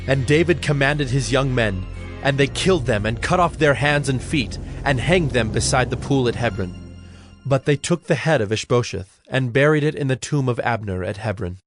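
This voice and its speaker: A man narrating dramatized scripture from a script in a measured, formal manner. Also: medium pitch, lively intonation. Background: music.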